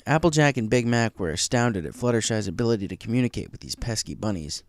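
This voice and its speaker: A man's voice: lowish voice, lively intonation, quick pace, no echo. A man reading scripted story narration as the narrator of a fan tale.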